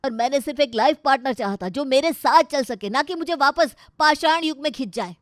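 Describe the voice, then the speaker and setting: A woman's voice: high voice, fairly quick, no room sound, studio quality, voice pushed up, lightly acted. Woman voicing an irritable, scornful young husband. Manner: loud, scolding, sarcastic. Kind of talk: dramatised Hindi moral story.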